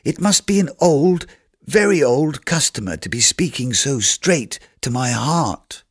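Eager, fussy shopkeeper played by a man, voice pushed high, animated. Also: unhurried, husky, no echo, studio quality. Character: stubby-legged middle-aged male coal merchant, eager for business.

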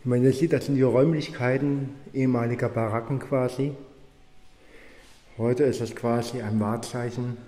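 A young man giving a casual video tour alone, halting and musing aloud as he talks into a handheld phone. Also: medium pitch, steady tone, dark tone. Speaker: vlogger filming alone. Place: empty museum rooms and corridors, indoors. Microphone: handheld phone camera. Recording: echoey with long room reverb.

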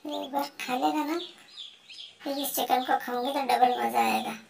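A woman's voice: high voice, steady tone, normal speed, gravelly, a little mellow, distant mic, slight background noise. A woman voicing a hungry, scheming female house cat, muttering plans to herself with lots of hums. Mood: grumbling greedy. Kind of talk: comic pet voice-over.

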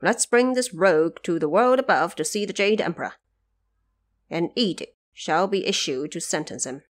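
A woman voicing an eager warrior brother in an audio drama, brisk and insistent. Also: on the low side, animated. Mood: urging.

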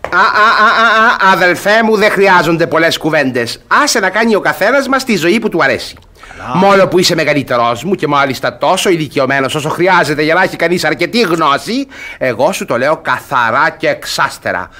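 An actor playing a stubborn, mocking younger brother in a radio comedy, sarcastic and emphatic. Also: male voice, fairly high pitch, lively intonation, sharp tone. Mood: scornful, insistent. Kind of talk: theatrical radio comedy acting.

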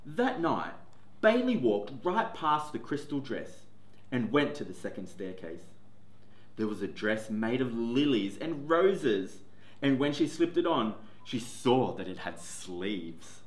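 Male teacher, 40s, slow and animated storybook reading, echoey room. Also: mid-range voice. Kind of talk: picture book read-aloud. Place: classroom, indoors. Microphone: camera in front, seated. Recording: echoey classroom.

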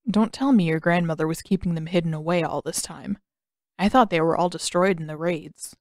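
Woman voicing a calm, lowered-voice seasoned warrior man. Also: brisk, no room sound, clean studio sound, natural voice. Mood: interested.

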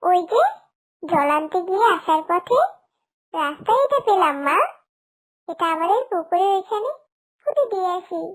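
A woman's voice: lively intonation, moderate pace, long pauses. A woman voicing an eager, cheerful little girl in an animal cartoon, with a childlike, sing-song delivery. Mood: eager pleading. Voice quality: childlike, high-pitched. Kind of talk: cartoon character acting.

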